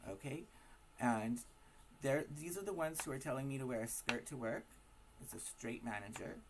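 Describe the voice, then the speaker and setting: A hoarse man with a raspy voice, giving a casual, emphatic opinion monologue to camera full of rhetorical questions. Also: medium pitch, moderate pace, background noise. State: hoarse from smoking and partying. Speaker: vlogger.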